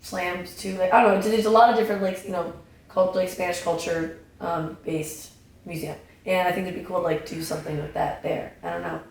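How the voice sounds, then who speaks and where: A young woman, a podcast guest, chatting casually in a slightly raspy voice, full of fillers and restarts. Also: fairly low pitch, level tone, a little fast. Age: young adult. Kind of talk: casual chat. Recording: echoey room, distant mic, faint noise.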